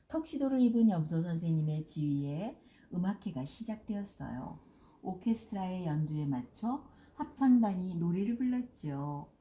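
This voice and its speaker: Older female storyteller, mellow voice, expressive children's narration, roomy recording with faint noise. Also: on the low side. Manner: expressive, dramatized reading for children.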